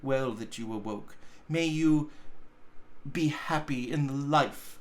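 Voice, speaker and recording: Guy reading as a sad gentle young woman in a storybook, really slow, grave n careful. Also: mid-range voice, natural voice. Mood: resigned sorrow. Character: gentle, sorrowful young woman releasing her betrothed.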